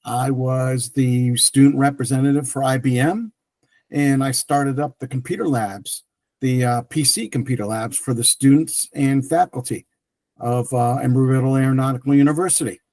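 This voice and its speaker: A man's voice: mid-range voice, steady tone, moderate pace, a little mellow, dry recording. A middle-aged man whose first language is French, telling his career story as an interview guest with many restarts and fillers. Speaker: guest, tech entrepreneur.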